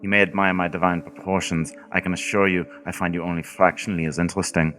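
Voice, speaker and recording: Man playing deep, haughty demon. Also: level tone, fast, a bit bright, soft background music. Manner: pompous, formal, wordy. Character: haughty male demon, courtly, condescending, fiendish. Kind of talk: theatrical radio play monologue.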